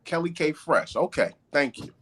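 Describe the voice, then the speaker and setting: A man hosting a podcast in casual chat, animated and joking, often repeating himself. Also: fairly high pitch, normal speed, slightly rough. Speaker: podcast host.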